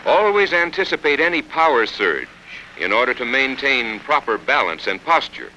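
An American man reading scripted instructional narration for a training film in a measured, instructive manner. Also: medium pitch, big pitch swings, pushed and bright, lo-fi sound. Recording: 1960s film soundtrack, faint noise.

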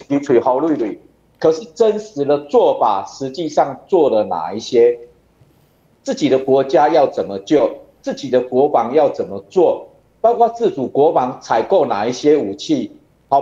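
A man's voice: a little high, warm tone. A man of about forty with a Taiwanese accent, giving emphatic political commentary full of rhetorical questions. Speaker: city councillor, guest commentator. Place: indoor room on a video call. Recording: dull, roomy video-call audio.

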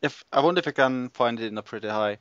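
A man's voice: mid-range voice, quick pace, a bit bright, clear, resonant tone, no room sound. A man chatting casually and hesitantly as he plans a video, on a somewhat dull recording. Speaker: video creator. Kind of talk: casual chat while planning a video.

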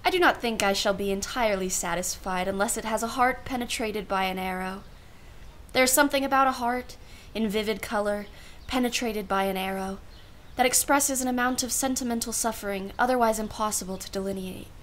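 A woman's voice: medium pitch, fairly quick, a bit bright, slight background noise, lightly acted. A woman playing a wry, genteel aunt in a radio play, musing in an arch, formal way. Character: wry, genteel aunt, self-professedly unsentimental.

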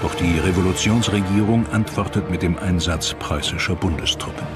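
Male narrator, deep pitch, scripted documentary delivery, clear music bed. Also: normal speed.

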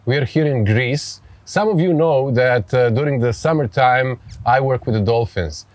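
A man's voice: medium pitch, normal speed, a little mellow, dry recording. Serbian-accented man hosting a podcast. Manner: hesitant, searching for words, filler-heavy. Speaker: podcast host. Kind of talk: casual podcast interview. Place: outdoors beside a pool.

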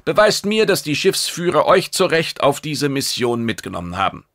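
A man's voice: a little high, very animated, moderate pace, no echo, studio quality, pitched above the performer's own voice. A man voicing an imperious alien warlord, raised voice. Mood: imperious, demanding. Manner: forceful, declamatory, imperious. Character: commanding male alien warlord briefing his troops, imperious.

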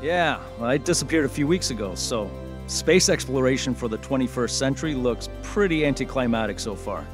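An actor playing an upbeat, boyish radio show host who chats informally and with enthusiasm in an audio drama. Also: male voice, mid-range voice, expressive, fairly quick, over music, natural voice. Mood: excited, chatty. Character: upbeat male late-night radio host, chatty and boyish.

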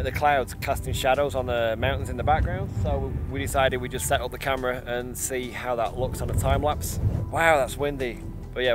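Man in his 30s, loose rambling travel-vlog delivery to camera, outdoors in the wind, music underneath. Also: mid-range voice. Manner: chatty, rambling, with little laughs. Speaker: vlogger. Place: outdoors in a windy open field.

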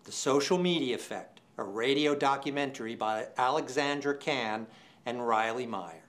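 An older man in his sixties presents an awards show from a script, with a medium-pitched voice. His delivery is formal and measured, and he enunciates names and details deliberately. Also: fairly bright tone. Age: 60s. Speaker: department chair presenting awards. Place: indoor studio set.

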